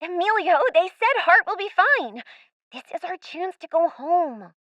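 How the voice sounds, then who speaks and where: A woman's voice: very high-pitched, very animated, a little slow, no echo, highs cut off, full character voice. A woman playing an earnest, hopeful young girl castaway in a radio play.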